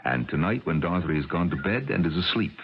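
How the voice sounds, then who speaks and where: Stern American scientist dad, very low voice, animated intonation, measured, suspicious, middle-aged, old dull radio drama recording. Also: male voice, slight background noise. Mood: stern confrontation. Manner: measured, formal, deliberate. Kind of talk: 1980 radio drama acting.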